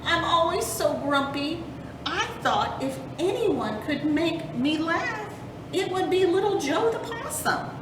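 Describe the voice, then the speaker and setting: A woman's voice: animated, slow, full character voice. Woman playing a wailing, melodramatic grumpy old bear. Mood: tearful moaning. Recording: roomy, distant mic with rumbling noise.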